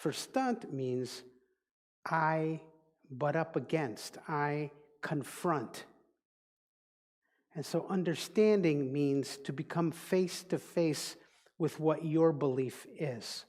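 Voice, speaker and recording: A man with a Dutch accent, a native Dutch speaker, giving a reflective sermon as a minister in a deliberate, teaching manner. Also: a little high, darkish tone. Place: church sanctuary. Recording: long reverb of a large hall.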